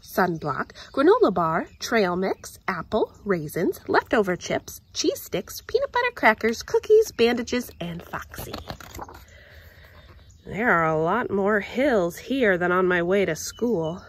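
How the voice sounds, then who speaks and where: A woman reading a children's picture book aloud in an expressive, deliberate storytelling manner. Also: medium pitch, husky. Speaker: story time reader.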